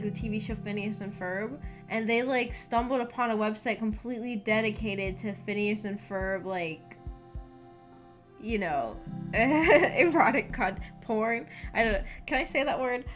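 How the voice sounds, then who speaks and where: Chatty woman streamer over background music. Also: a little high. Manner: rambling, giggly, self-deprecating, hesitant with many restarts. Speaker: VTuber streamer chatting with viewers. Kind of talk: casual livestream chat and personal storytelling.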